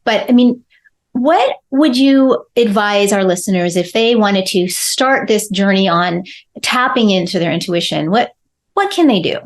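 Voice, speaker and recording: A woman hosting a podcast, with a middle-pitched voice. She asks long, winding questions and keeps restarting her sentences. She sums up her guest's points in a conversational way. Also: animated, measured pace. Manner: rambling questions with many restarts. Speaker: podcast host. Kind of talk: podcast interview.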